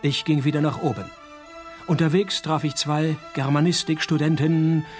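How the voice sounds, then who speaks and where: An actor playing a wry, world-weary private detective, narrating and sparring in dry, laconic deadpan in a radio crime parody. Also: male voice, a little high, moderate pace, soft background music, natural voice. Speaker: lead character and narrator.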